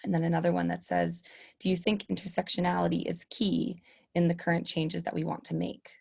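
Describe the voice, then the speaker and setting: A young woman moderating an online discussion over a laptop video call, pausing often with fillers as she reads out questions. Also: fairly low pitch, level tone, gravelly, a little mellow, breathy edge. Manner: hesitant, many fillers. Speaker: webinar moderator. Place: room with sloped ceiling, indoors. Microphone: laptop webcam video call.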